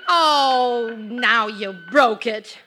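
An actress playing a sensible wife of about forty, scolding yet devoted, in an old radio sitcom. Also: female voice, on the high side, drawn-out words, over music. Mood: exasperated. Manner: motherly, reproving, coaxing. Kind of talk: 1940s-50s radio sitcom acting. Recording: vintage radio show recording.

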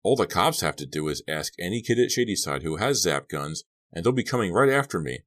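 A man voicing a hot-headed, panicky teenage boy in an audiobook, protesting loudly and heatedly. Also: deep voice, expressive, fairly quick. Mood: heated, defiant.

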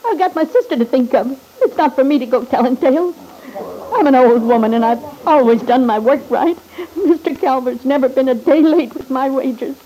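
Actress doing a nervous old servant lady, old radio play, soft mellow tone, stammery and very polite. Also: high voice, normal speed, natural voice, poor audio. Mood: tearful, distressed. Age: older. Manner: hesitant, deferential, stammering. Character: nervous, loyal old female household servant on the witness stand. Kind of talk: old-time radio drama acting.

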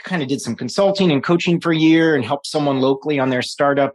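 A man in his forties from New York City, a guest talking loosely in an interview, with lots of restarts and fillers and frequent laughs. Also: medium pitch. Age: 40s. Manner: rambling, many restarts and fillers, laughs often. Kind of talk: casual interview conversation.

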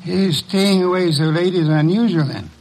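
An actor playing a blunt, imperious detective in an old radio drama, pompous and formal, with a dry wit and a gravelly, husky voice. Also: male voice, sits high, normal speed, darkish tone, rough recording. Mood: stern. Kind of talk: 1940s-1950s American radio drama acting. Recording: vintage radio recording.

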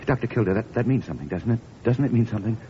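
An actor playing an anxious, despairing patient with bandaged eyes, halting and pleading, in 1940s radio drama style. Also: male voice, lowish voice, animated, lightly acted. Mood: fragile hope. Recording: dull, noisy old radio recording.

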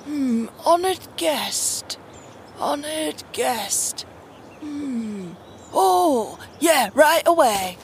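A woman's voice: high-pitched, lively intonation, drawn-out words, a little hiss or hum, exaggerated voice. An actress playing an absent-minded elf servant girl, dreamy and distracted, muttering to herself in a fantasy audio drama.

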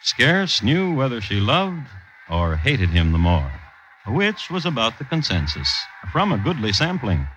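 An actor playing a wry crime storyteller, narrating a vintage radio drama in a dry, deliberate, theatrical manner. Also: male voice, medium pitch, huge pitch swings, fairly bright tone, slight background noise, rough recording. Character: wry, measured male storyteller of true crime tales. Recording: vintage radio recording with music.

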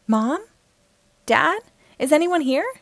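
A woman's voice: high-pitched, very animated, slow, dry recording, voice pushed up, exaggerated voice. A woman voicing a plucky, earnest young boy in a children's storybook. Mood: hopeful. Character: plucky young boy left home alone.

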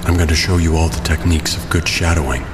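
A man's voice: extremely low pitch, fairly even intonation, fast, noisy background. An actor playing a grim, commanding male vigilante who speaks in stern, terse instructions in an audio drama. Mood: grave, instructive.

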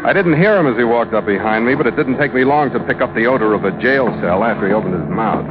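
An actor playing a tough, sardonic young American sea captain in the 1940s radio style, terse and wry. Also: male voice, medium pitch, animated, fast, natural voice. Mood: brooding, wistful. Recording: muffled old radio recording, music behind.

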